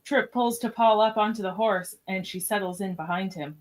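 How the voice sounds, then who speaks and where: A woman narrates an amateur radio drama from a script. Her voice sits at an average pitch for a woman as she reads the scene directions. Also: normal speed. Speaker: narrator of a podcast radio play.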